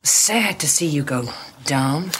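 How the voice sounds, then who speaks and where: An actress with a Southern accent playing a cold, controlled spy boss who talks in a cool, mocking way. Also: female voice, low-pitched, animated, takes it slowly, a bit bright, slightly rough, exaggerated voice. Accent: American Southern. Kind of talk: film dialogue.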